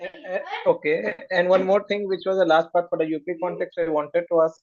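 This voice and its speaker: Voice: medium pitch, little pitch movement, a little fast, darkish tone, muffled recording. A person asking the guest a long, winding question in a webinar, with some hesitations. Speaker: interviewer.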